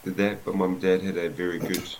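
Kiwi guy, really low voice, soft and slow storytelling, lots of ums, faint noise behind. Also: flat delivery, low-quality recording. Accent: New Zealand. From New Zealand. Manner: soft, unhurried storytelling with frequent "um" pauses. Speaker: rugby head coach, guest. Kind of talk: casual interview, telling his life story.

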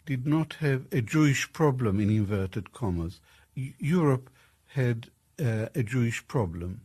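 Older male interviewee from Iraq, warm low tone, deliberate pacing with small pauses. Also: lively intonation, breathy edge. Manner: measured, deliberate, frequent "uh". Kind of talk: archival interview clip.

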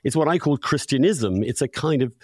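Male podcast host, middle-aged, emphatic and opinionated, very lively intonation, close dry recording. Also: medium pitch, brisk, no echo. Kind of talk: conversational podcast discussion.

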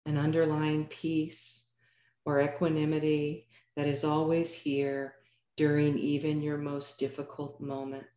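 Female meditation teacher, mellow and calm, measured phrasing with pauses, roomy echoing sound. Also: deep voice. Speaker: yoga nidra meditation teacher. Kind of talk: guided meditation narration.

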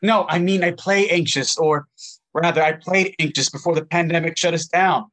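An actor playing a young, homeless chorus actor from Arizona, earnest and pleading, in an audio drama. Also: male voice, on the high side, fairly even intonation, moderate pace, fairly bright tone, lightly acted. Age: young adult. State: shivering from cold.

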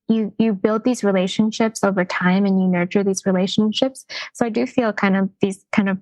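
A woman's voice: mid-range voice, a little mellow, no room sound, low-quality recording. A woman from Canada, a guest in a casual interview, speaking thoughtfully with many hesitations and fillers. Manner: thoughtful, hedging, frequent "um" and "like".

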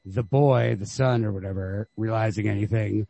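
Male podcast co-host, husky and creaky, casual book talk, feels his way through each point. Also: fairly low pitch, fairly even intonation, normal speed, dark tone, no room sound, highs cut off. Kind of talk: casual literary discussion.

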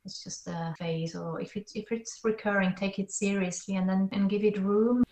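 A Swiss-accented woman talks through her memories as a podcast guest, slow to find her words and restarting often. Her voice sits at a middle pitch. The room around her gives an echoey sound. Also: steady tone, darkish tone. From Switzerland. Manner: thoughtful, hesitant, with frequent restarts. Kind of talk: interview conversation.

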